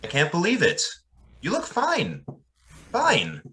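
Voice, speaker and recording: An earnest young pastor played by a man with a highish, bright voice and hugely varied intonation. He is deferential and hesitant, in the emphatic manner of old-time radio drama. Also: huge pitch swings, takes it slowly, a little hiss or hum, exaggerated voice. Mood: concerned, grateful.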